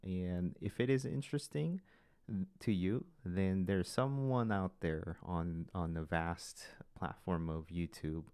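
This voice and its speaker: A man with a Korean accent, Korean as his first language, hosting a casual talk show in a slow, hesitant way, pausing to form his sentences. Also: low-pitched, darkish tone, smooth, no echo, studio quality. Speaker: podcast host.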